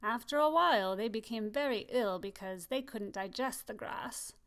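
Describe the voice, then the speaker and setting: A woman reading a children's picture book aloud, expressive and slowing down on key phrases. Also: on the high side, clear, resonant tone. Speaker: storybook reader. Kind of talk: children's story read-aloud.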